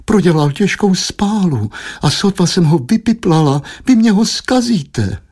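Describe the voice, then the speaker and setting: A man's voice: sing-song, a little mellow, husky. A man voicing an anxious, doting wife and mother in an audiobook, moaning and fretful, full of insistent questions. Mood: plaintive worrying. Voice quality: high, plaintive, moaning.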